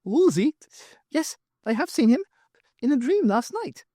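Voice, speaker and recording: A man voicing a small furry alien professor, calmly prophetic and courteous, in measured matter-of-fact pronouncements for an audiobook. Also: extremely high pitch, no echo, clean studio sound, voice pushed up, exaggerated voice. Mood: helpful, cryptic. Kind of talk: audiobook character voice.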